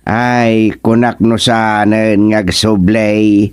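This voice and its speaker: A man acting as an excitable, gossipy village official in an Ilocano radio comedy drama, with an Ilocano accent and drawn-out exclamations. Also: lowish voice, smooth, no echo, studio quality. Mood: amused, gossipy.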